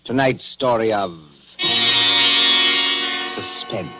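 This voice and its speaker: Low American radio announcer over music. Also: male voice, expressive, rough recording. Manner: measured, polished, dramatic. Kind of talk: formal 1940s radio announcing. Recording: old 1940s radio broadcast.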